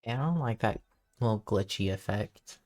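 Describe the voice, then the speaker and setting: A woman's voice: rumbling low, moderate pace, a little mellow, soft background music. A woman giving casual live commentary as she plays through a video game. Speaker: game streamer.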